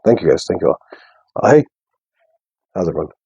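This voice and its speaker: A young man with a deep bass voice, talking softly and casually to viewers on a live stream. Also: big pitch swings, fairly quick, vocal fry, breathy edge, no echo, highs cut off. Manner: soft, unprojected speech. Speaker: livestream host. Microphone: wearing a mic.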